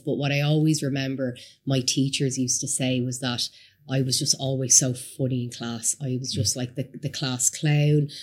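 Dublin woman in her 30s, chatty guest on a studio podcast, lively storyteller. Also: a bass voice. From Somalia, raised in Ireland. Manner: chatty, animated storytelling with laughs. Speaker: guest entrepreneur. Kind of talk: casual interview chat.

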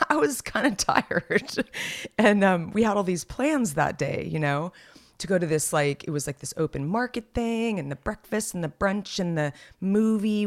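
A podcast co-host in her thirties talks in a loose, rambling way, stopping and restarting her sentences often. Her voice is slightly raspy and hoarse. She sounds relaxed and conversational. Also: on the low side. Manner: chatty and rambling, many fillers and restarts. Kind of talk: casual chat.

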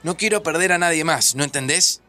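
A man's voice: fairly high pitch, fairly quick, pushed and bright. An actor playing an earnest, hesitant man in his thirties, hurt and grieving, with a Rioplatense Argentine accent, in an audio drama. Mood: upset. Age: 30s. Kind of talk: naturalistic audio drama acting.

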